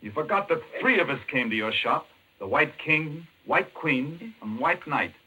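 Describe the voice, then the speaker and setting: Hero role: resolute, commanding, clipped delivery, very sharp bright tone, lively pitch, old radio style. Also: male voice, unhurried, slightly rough, heavily acted, rough recording. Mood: triumphant, grim. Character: resolute heroic man confronting a killer, commanding. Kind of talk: 1940s radio acting. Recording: vintage radio, faint background noise.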